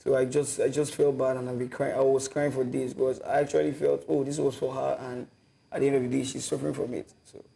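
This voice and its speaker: A man's voice: mid-range voice, little pitch movement, fast talker, gravelly, mellow, a little hiss or hum. A seventeen-year-old young man recounting his ordeal in an interview, repeating himself as he goes. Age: teenager. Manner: repetitive, emotional recounting. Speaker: rescued youth interviewee.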